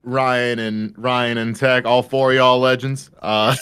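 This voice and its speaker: Male host, mid-pitched and crisp, casual, halting delivery with repeated phrases. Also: flat delivery, a bit bright. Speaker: panel host. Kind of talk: casual panel hosting.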